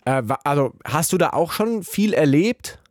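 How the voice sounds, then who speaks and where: A man's voice: on the high side, lively intonation, normal speed, dry recording. A man hosting a radio show, chatting casually and informally with a caller from a studio. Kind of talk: casual radio phone-in chat. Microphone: close studio microphone.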